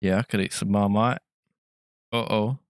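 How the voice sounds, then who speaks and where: A man's voice: on the low side, fairly even intonation, normal speed. A man from Britain co-hosting a game stream, chatting casually, thanking donors and singing little jingles. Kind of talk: casual chat while gaming. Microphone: gaming headset.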